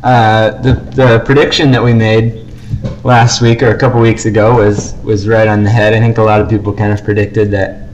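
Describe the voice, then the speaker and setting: Low-pitched man co-hosting a casual podcast. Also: level tone, normal speed, muffled recording. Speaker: podcast co-host. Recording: faint background noise.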